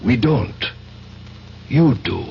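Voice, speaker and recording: An actor playing a cool, calculating spymaster in a radio drama, speaking formally and deliberately. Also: male voice, medium pitch, expressive, creaky, music in the background, heavily acted. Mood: commanding. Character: cool commanding male spymaster, controlled and calculating. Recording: dull, noisy old radio drama recording.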